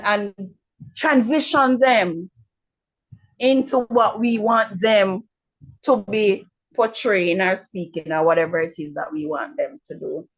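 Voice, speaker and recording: A middle-aged Jamaican woman on a video call, speaking frankly with repeated words and frequent pauses. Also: mid-range voice, highs cut off. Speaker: webinar attendee. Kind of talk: casual remarks on a video call.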